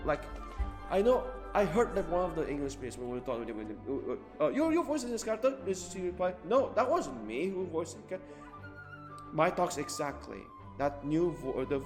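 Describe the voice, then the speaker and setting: A man giving casual live game commentary as a streamer, rambling, repeating himself emphatically and swearing offhand. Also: a little high. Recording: reverberant room, game music behind.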